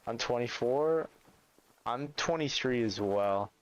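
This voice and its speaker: A young man in his twenties with a lilt talks easily to his viewers between hunts. His voice is smooth and clear, pitched in an ordinary middle range, and his manner is relaxed and chatty. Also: takes it slowly, muffled recording. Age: 20s. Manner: laid-back, chatty, reads and answers chat. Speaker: streamer. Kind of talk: casual livestream chat while gaming.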